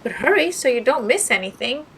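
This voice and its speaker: A woman's voice: high voice, sing-song, fairly quick, a little hiss or hum, pitched above the performer's own voice. A woman voicing a hearty, proud male sea captain in a storybook, explaining things with emphasis.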